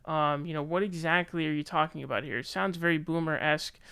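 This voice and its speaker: A man's voice: a little high, steady tone, fast, vocal fry, sharp tone, clear, resonant tone, no echo. An American man giving casual, opinionated news commentary in colloquial language, sometimes reading aloud.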